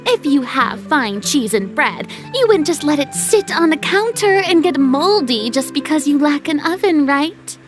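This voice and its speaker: A woman's voice: high voice, expressive, measured pace, hardly any pauses, over music. A woman playing a proud, theatrical young star turned film director in a video game, haughty and self-important.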